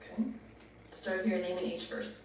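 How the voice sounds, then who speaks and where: A woman's voice: on the low side, steady tone, normal speed, darkish tone. A woman hosting a dating game show, casually giving directions and asking the contestants questions. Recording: echoey, distant, faint noise.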